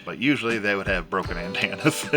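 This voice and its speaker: A man's voice: sits low, fast talker, over music. A middle-aged man giving a casual, folksy vlog demonstration as the host of a vintage gadget channel. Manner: folksy, chuckles often.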